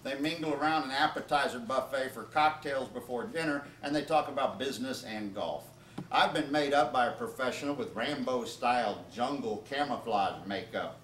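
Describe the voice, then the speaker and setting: A man's voice: medium pitch, moderate pace, a bit bright. A man reading comedic stories aloud as an author at a live event, with informal asides to his listeners. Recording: roomy, distant, noisy live event recording.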